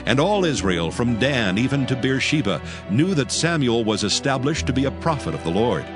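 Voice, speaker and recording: A man narrating a dramatized Bible in a measured, formal reading style. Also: mid-range voice, very animated, music in the background.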